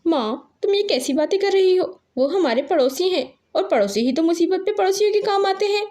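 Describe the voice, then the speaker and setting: Young girl cartoon bird acted by a woman: earnest, coaxing, high and lively. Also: a little fast. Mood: pleading. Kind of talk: Hindi cartoon character acting.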